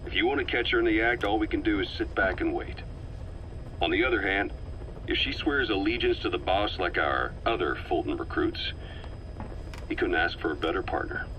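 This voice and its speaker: An actor playing a shrewd, calm, calculating military veteran in a video game, measured and self-assured. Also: male voice, low-pitched, poor audio. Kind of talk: dramatic game voice acting. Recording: faint background noise.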